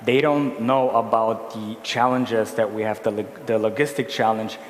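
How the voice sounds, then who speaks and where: A man's voice: on the low side, steady tone, moderate pace. A man from Brazil gives a business talk in a large conference hall. He explains a slide step by step and often restarts his phrases. The sound carries a long, roomy reverb with faint hum behind it. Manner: self-correcting, explaining slides. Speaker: conference speaker. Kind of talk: conference presentation. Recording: echoey hall with faint room noise.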